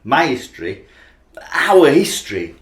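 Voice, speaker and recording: A man's voice: medium pitch, very animated, unhurried. In a roomy, echoing space, a man plays an ageing Yorkshire rugby fan in a radio drama. He is obsessed and wounded, confessing his doubts in a voice that swings widely with feeling. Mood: anguished, regretful. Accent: Yorkshire English. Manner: anguished, confessional, searching. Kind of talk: radio play acting, emotional monologue and dialogue.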